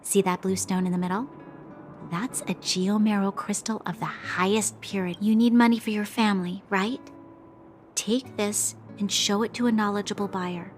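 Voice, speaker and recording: A poised young commander with a formal, measured way of speaking, as a woman plays her. Her voice sits at a middle pitch for a woman. Faint music runs underneath. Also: lightly acted. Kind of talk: video game dialogue.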